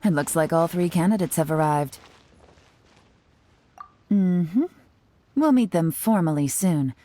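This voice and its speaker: A woman's voice: lowish voice, expressive, slow, dark tone. A woman voicing a cool, self-assured official in an anime game, composed and commanding.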